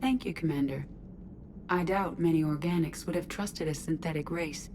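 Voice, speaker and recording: A woman's voice: low-pitched, a little mellow, a little hiss or hum, lightly acted. An actress playing a composed, logical female artificial intelligence in a video game, speaking precisely and formally. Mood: calm, reflective. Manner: precise, formal, measured wording. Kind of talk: scripted video game acting.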